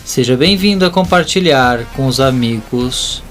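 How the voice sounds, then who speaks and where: A man's voice: medium pitch, animated, measured pace. A man with a Brazilian accent reading scripted narration for an audio drama. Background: music.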